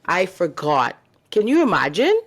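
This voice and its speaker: A woman's voice: on the low side, huge pitch swings, unhurried. A young adult woman from the Bahamas, co-hosting a podcast and candidly telling her own story and giving advice. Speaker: podcast co-host. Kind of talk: casual podcast chat.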